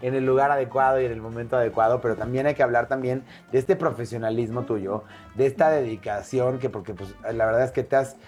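A woman's voice: very deep voice, fairly even intonation, quick pace, hardly any pauses, darkish tone, soft background music. A woman hosting a talk show interview, chatty and rambling with many fillers. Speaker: host.

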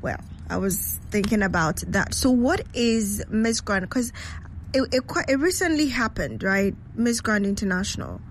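A woman's voice: mid-range voice, lively intonation, moderate pace, slight background noise. A woman hosting a live radio show from her studio, chatty and bubbly, laughing often as she interviews guests.

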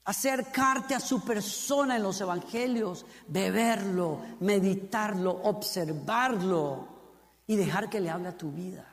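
A man's voice: high-pitched, a little slow. A man from Costa Rica with a Costa Rican Spanish accent, preaching a sermon, asking the congregation questions and building to fervent emphasis with repeated phrases. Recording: long hall reverb.

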